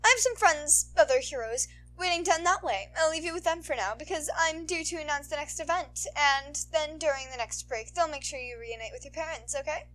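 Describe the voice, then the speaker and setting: A woman voicing a playful adult superheroine with a soft, honeyed manner and quiet authority, kind to children, in a storybook reading. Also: high voice, a little fast, few pauses, voice pushed up. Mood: friendly, reassuring.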